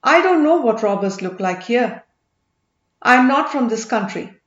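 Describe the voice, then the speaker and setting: A woman's voice: fairly high pitch, animated, a little slow, roomy sound, dull audio. A woman voicing a proud young man disguised as a gem merchant, guarded and firmly loyal.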